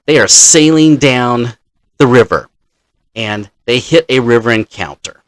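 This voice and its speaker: A man in the role of dungeon master, casually telling a story from his game session in a halting, deliberate manner. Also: medium pitch, expressive, no echo.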